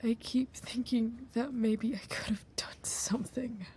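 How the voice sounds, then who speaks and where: A woman playing a guarded, shaken teenage girl in a video game, halting and subdued. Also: fairly high pitch, fairly even intonation, darkish tone, slight background noise, natural voice. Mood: shaken, guilty. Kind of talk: naturalistic video game acting.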